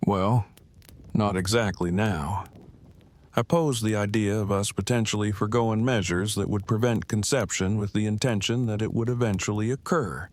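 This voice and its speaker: A man's voice: lowish voice, lightly acted. A man playing an earnest, bookish husband in an audio drama, speaking in formal, precise, wordy phrases. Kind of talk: fan audio drama acting.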